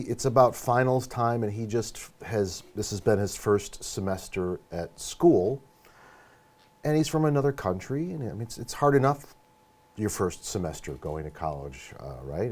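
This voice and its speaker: A man answering as an interview guest, telling a story in a halting, conversational way. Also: fairly low pitch, lively intonation, a little mellow. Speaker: film professor and director, interview guest.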